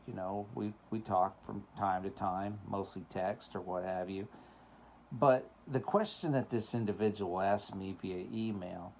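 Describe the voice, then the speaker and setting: Man, middle-aged, rambling casual talk, gravelly, fairly low voice, faint background hiss. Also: a little mellow, poor audio. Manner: rambling, many fillers, laughs often. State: voice cracking. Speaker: show host. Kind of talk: casual monologue.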